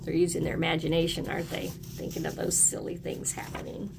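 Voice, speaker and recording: A woman's voice: low-pitched, monotone, a little fast, vocal fry, background noise. A woman teaching young children, encouraging them in short, simple phrases as she reads aloud and explains. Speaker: early elementary teacher.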